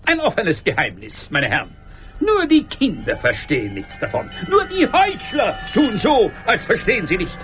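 An actor playing an indignant, pompous office clerk who declaims like an agitator in a German radio play. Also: male voice, sits high, wildly swooping pitch, normal speed, music in the background. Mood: accusing.

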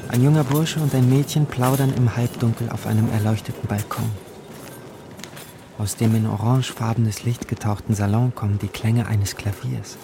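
An actor playing a bitter, dreamy poor youth, narrating in a brooding, intimate, gravelly way in a literary radio drama. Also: male voice, mid-range voice, fairly even intonation, normal speed, noisy background, lightly acted. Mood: matter-of-fact. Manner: brooding, intimate, sometimes whispering.

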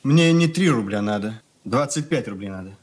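An actor playing a sullen, desperate, rebellious schoolboy in a radio drama, curt and brooding in manner. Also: male voice, on the low side, lively intonation, moderate pace, husky. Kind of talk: Russian radio drama acting.

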